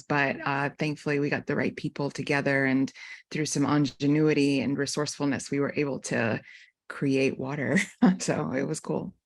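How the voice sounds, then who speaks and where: A woman filmmaker from North Carolina answering panel questions in a reflective way, with frequent ums. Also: deep voice. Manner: reflective, many ums and likes.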